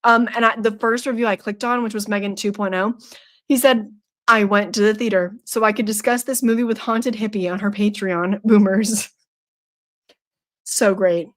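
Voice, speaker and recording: A woman's voice: medium pitch, steady tone, moderate pace. Young woman streamer, casual, playful and teasing, reads chat aloud, mid-range voice. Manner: chatty, playful, laughs often, teasing. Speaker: streamer hosting a horror trivia night.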